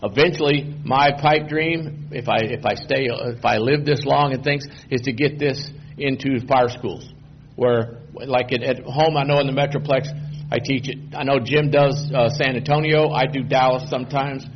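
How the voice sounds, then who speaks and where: A man, giving a relaxed, rambling presentation to a committee. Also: medium pitch, normal speed, a little hiss or hum. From Texas. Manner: relaxed, rambling, self-correcting. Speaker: guest presenter. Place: meeting room. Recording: dull lo-fi public meeting recording.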